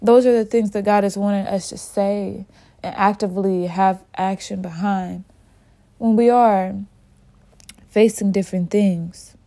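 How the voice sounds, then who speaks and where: Casting: woman podcast host, slow unhurried delivery, slightly sniffly from a cold, candid and informal. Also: mid-range voice, fairly even intonation, dark tone. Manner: candid, informal, talks straight to listeners as y'all. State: a little sick, sniffly. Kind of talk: spiritual podcast monologue.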